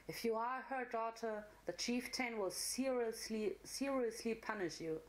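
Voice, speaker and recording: A woman's voice: on the high side, unhurried, close to an everyday voice, low-quality recording. Storybook role: nervous, careful filly, young and easily worried, voiced by a woman. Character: timid, worried, cautious young unicorn filly.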